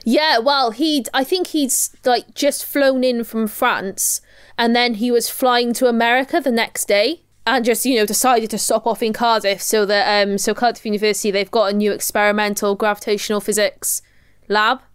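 A woman's voice: fairly high pitch, fairly even intonation, moderate pace, clean tone, dry recording, studio quality. A young woman with a Welsh accent chatting casually as a podcast co-host. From Wales. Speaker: podcast co-host and astrophysics researcher. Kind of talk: casual chat.

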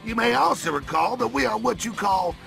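A cocky alien clone villain for a video game. He has a gravelly Southern American drawl and a folksy, long-winded way of talking, with music faintly behind him. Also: high voice, animated, fairly quick, a bit bright, heavily acted. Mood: smug, menacing.

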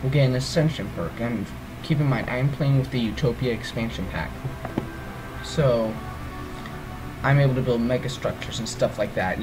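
A man's voice: mid-range voice, poor audio. Groggy man rambling through a game. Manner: rambling, hesitant, full of ums and self-corrections. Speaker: let's play gamer. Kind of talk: casual gameplay walkthrough.

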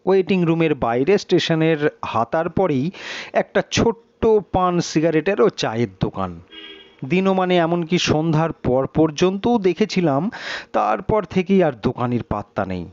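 A man's voice: fairly high pitch, expressive, moderate pace, darkish tone, dry recording. A man reading a story aloud as a dramatic audiobook narrator. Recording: slightly dull, muffled sound.

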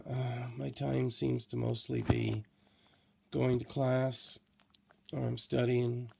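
Middle-aged man, hoarse and worn out, gravelly fry at a middling pitch, slow rambling vlog talk. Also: mellow. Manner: slow, tired, rambling with many fillers. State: hoarse, coughing. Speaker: vlogger. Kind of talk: late-night personal video diary. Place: small room indoors at night. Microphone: laptop or phone camera close to face.

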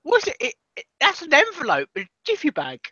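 Lively British man on a lo-fi mic. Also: extremely high pitch, moderate pace, dry recording. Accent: British English. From Britain. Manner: animated, joking, talks to the chat. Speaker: livestream co-host. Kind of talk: casual chat.

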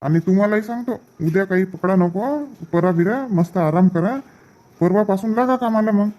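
A man's voice: high-pitched, moderate pace, mellow, close to an everyday voice. A man voicing easygoing village farm men in a cartoon comedy.

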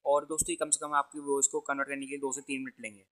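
Fast-talking young man. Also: mid-range voice, little pitch movement, a bit bright. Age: young adult. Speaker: YouTube tutorial host. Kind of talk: tech tutorial to camera and screen.

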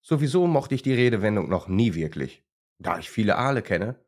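A proud, enthusiastic crested newt from a children's tale, voiced by a man. He is chatty and keen to explain, with highly animated intonation. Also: fairly quick. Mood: earnest.

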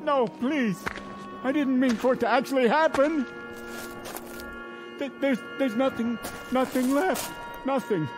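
A man's voice: very high voice, a little slow, long pauses, over music. An actor playing a desperate man who believes he is the last person alive, pleading and breaking down. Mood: panicked. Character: desperate grown man alone in a ruined city. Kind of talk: radio drama acting.

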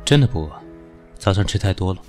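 A man acting in an audio drama as a cool, sharp-tongued professional who is weary and dismissive of his pushy lover. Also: deep voice, expressive, fast talker, over music. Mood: dry, teasing.

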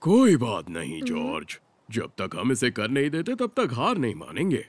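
A woman's voice: a bass voice, huge pitch swings, normal speed, a little hiss or hum, full character voice. A woman voicing a jolly, overconfident father pig in a cartoon dub.

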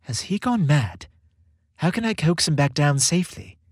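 A man's voice: fairly high pitch, big pitch swings, moderate pace, breathy edge, no room sound, clean studio sound. A man voicing an earnest, awestruck young man in a holiday romance story, amazed and questioning. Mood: worried.

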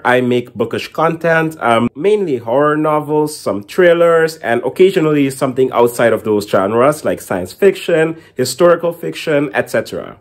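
Young male book vlogger, mid-pitched voice, casual and a little rambling, speaking to camera at home. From Caribbean. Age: young adult. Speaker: book reviewer and channel host. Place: small indoor room at home with bookshelf.

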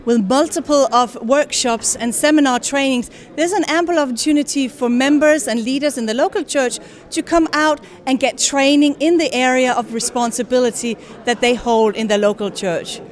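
A woman's voice: sits high, moderate pace. A woman reporter delivering event narration and putting interview questions to guests.

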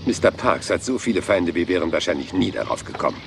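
A man's voice: low-pitched, animated, fast talker, gravelly, slight background noise. An actor playing a rumpled, humble male police inspector in a German crime drama dub, apologetic and politely persistent. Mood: humble, dogged.

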